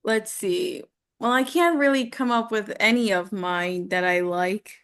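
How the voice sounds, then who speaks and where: A woman's voice: mid-range voice, a little slow, a bit bright, clear, resonant tone. A woman student chatting casually and hesitantly, thinking aloud as she goes. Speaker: voice acting student. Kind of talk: casual chat.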